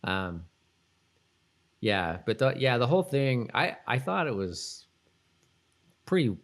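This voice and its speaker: A man's voice: mid-range voice, sing-song, slow, a bit bright. A middle-aged man co-hosting a casual podcast recap of a TV show, thinking aloud in a hesitant, rambling way. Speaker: podcast co-host. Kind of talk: casual TV episode recap chat.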